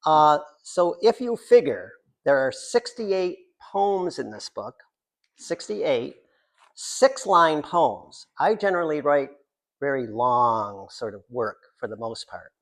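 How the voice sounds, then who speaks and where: An older American man, a poet introducing his work at a reading in an informal, halting, digressive way with wry, self-deprecating humour. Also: fairly high pitch, lively intonation. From Central New York, United States. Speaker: poet reading from own book. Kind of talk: informal author talk at a poetry reading.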